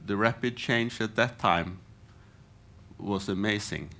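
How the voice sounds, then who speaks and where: Panelist, male, 50s, lowish voice, plain and brisk, headset mic. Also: bright, forceful tone. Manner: short, plain, rhetorical questions. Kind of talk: conference panel remarks. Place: conference hall panel stage.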